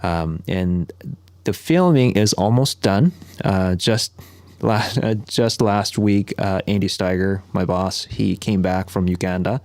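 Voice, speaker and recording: A man raised in South Korea, his first language Korean, with a very low voice. He talks in an easygoing, conversational way, pausing on fillers and restarting sentences. The sound is dry and close, with no room echo. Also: darkish tone. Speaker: podcast guest, ministry worker. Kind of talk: conversational storytelling.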